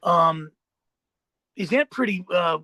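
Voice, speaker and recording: A man's voice: high-pitched, lively intonation, slow pace, pushed and bright, no room sound. An American man hosting a casual interview, rambling and agreeable as he talks. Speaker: podcast host, interviewer.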